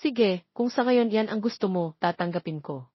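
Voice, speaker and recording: A woman's voice: medium pitch, normal speed, slightly rough, no echo, lo-fi sound, lightly acted. A woman voicing an apologetic, earnest young bachelor who pleads and explains, in a romance audio story.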